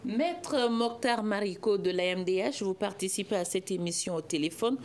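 A middle-aged woman hosting a studio debate, asking questions in a clear, formal way. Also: mid-range voice, normal speed, a little mellow, a little hiss or hum. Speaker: host. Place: television studio. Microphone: desk microphone.

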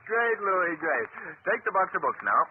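An actor playing a genial, confident, well-to-do gentleman in a 1930s radio drama. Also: high voice, sing-song, moderate pace. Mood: breezy, amused. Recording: scratchy, tinny 1930s radio recording.

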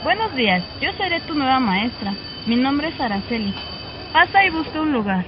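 A woman's voice: high-pitched, sing-song, normal speed. A woman playing a polite, welcoming schoolteacher in a radio drama. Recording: muffled amateur recording with noisy background.

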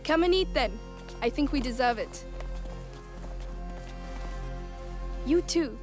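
High-voiced, protective teenage medieval girl. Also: animated, moderate pace, music in the background. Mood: gentle, kind. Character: determined, protective teenage big sister in medieval France. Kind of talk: cinematic video game drama acting.

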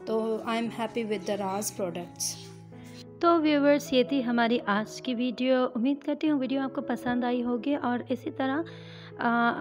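Chatty woman with a high voice. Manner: chatty, with many hesitations. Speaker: vlogger reviewing a product. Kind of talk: casual product review. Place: home, indoors.

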